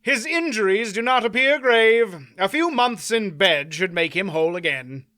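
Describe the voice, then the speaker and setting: A man voicing a confident, courtly knight who speaks in formal archaic phrasing, loud and ringing. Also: high-pitched, expressive, normal speed, no room sound, studio quality, pitched above the performer's own voice. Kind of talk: audiobook character voice.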